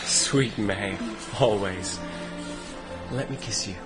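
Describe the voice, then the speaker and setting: An actor playing a genteel, wistful young New York gentleman in an audiobook drama, speaking in a measured, formal and refined way. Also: lowish voice. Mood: earnest, tender. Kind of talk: audiobook drama narration and dialogue. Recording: music behind the voice.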